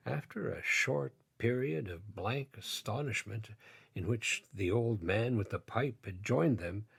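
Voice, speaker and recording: A man narrating a literary stage drama in a deliberate, theatrical manner. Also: fairly low pitch, lively intonation, vocal fry, husky.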